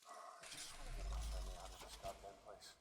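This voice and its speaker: A man's voice: low voice, monotone, quick pace, close to an everyday voice. An actor playing a desperate, defiant family man trapped on a spaceship in a horror game, in a reverberant, noisy recording. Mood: angry. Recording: reverberant hall, clear background noise.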